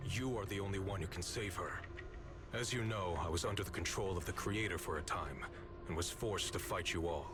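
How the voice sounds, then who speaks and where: An actor playing a grave, earnest swordsman in a video game, speaking in a formal, measured, solemn way. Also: low voice, natural voice. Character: grave, earnest older-brother swordsman with secret knowledge.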